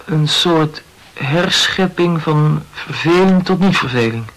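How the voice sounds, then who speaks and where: An actor playing a brooding, wryly morbid male poet in a Dutch radio play, speaking reflectively and haltingly in a gravelly voice. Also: fairly high pitch, a bit bright, poor audio. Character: brooding, self-absorbed male poet, wryly morbid about his youth.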